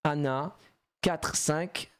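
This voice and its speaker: A man's voice: on the high side, drawn-out words, clean tone. A man chatting casually on a live stream as he opens trading card packs, joking around and laughing often. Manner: animated and jokey, laughs often, plays on words.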